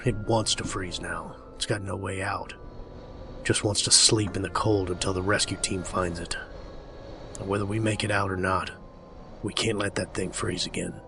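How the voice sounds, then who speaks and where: A man acts out a tough, grim survivor in an audio drama read-along. His voice sits low and his delivery is terse and hard-bitten. Music runs behind him.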